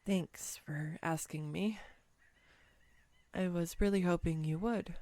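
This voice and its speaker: A woman playing a clumsy, excitable young woman on a first date in a roleplay audio, with a bubbly, hesitant, playfully teasing manner. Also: on the low side, takes it slowly, dry recording. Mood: fond, amused. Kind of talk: scripted girlfriend roleplay audio, intimate one-sided dialogue.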